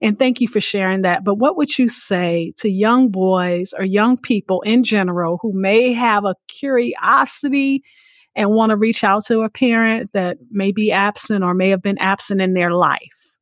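A woman's voice: mid-range voice, normal speed, no room sound, clean studio sound. A woman hosting a conversational podcast interview, affirming her guest and repeating phrases for emphasis. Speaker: podcast host.

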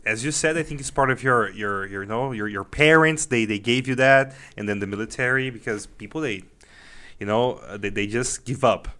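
A man's voice: medium pitch, fairly quick, sharp tone. A man interviewing a guest in a casual conversation, agreeable and often finishing the guest's sentences.

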